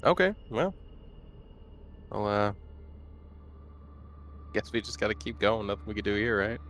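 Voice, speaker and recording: A man's voice: on the low side, animated, unhurried, a bit bright, slight background noise. A man talking casually as a player in a tabletop roleplaying game.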